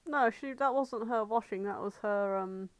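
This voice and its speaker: A woman with a medium-pitched voice banters on a casual fan podcast. She is blunt, argumentative and happy to swear. The recording is close and dry, without room sound. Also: normal speed, no echo. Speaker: podcast co-host. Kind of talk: casual banter about fandom.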